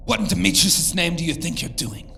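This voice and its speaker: A man's voice: fairly high pitch, lively intonation, a little fast, creaky, pushed and bright, a little hiss or hum, heavily acted. An actor playing a harsh, domineering royal father in an audio drama, stern, scolding and full of imperious contempt. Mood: angry, scornful.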